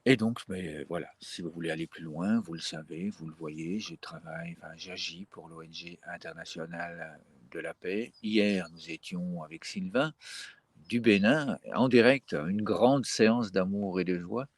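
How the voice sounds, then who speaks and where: A man leading a live-streamed guided breathing session in a gentle, unhurried way, with frequent fillers and restarts. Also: low-pitched, breathy edge, no echo.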